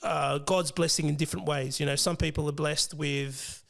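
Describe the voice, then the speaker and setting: A young man with an Australian accent, whose first language was Fijian, talking casually as an interview guest, hesitant with many pauses and restarts. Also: a little high, steady tone, creaky. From Western Sydney, Australia. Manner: hesitant, many ums and restarts, laughs easily. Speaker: financial planner guest.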